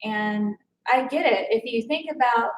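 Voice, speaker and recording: A woman's voice: fairly high pitch, measured pace, echoey room, rough recording. A woman co-hosting a radio chat show, chatty, reacting often and swearing casually.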